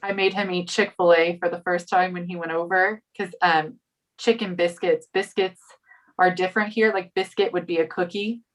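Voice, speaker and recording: A woman's voice: lowish voice, fairly even intonation, moderate pace, poor audio. A woman chatting casually as a guest, filling her talk with "like". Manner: chatty, uses "like" a lot. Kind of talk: casual chat.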